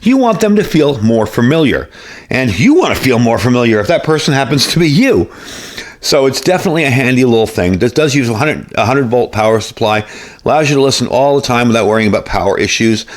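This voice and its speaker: A man's voice: mid-range voice, expressive, brisk. A man giving a casual hands-on product demonstration in a folksy, conversational way, often repeating himself. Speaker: radio product reviewer.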